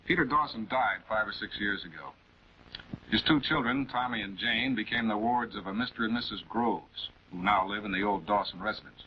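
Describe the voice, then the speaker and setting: A man's voice: on the low side, close to an everyday voice. A man playing a composed, affable public prosecutor in a late-1940s television crime drama, speaking in a polite, conversational way. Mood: genial, explaining. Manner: conversational, polite, measured questioning. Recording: dull, noisy 1940s film soundtrack.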